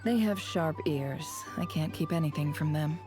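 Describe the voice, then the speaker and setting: An actress playing a shrewd, secretive woman spy in a video game, measured and coolly wry. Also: low voice, lightly acted. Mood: cool, guarded. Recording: game music behind.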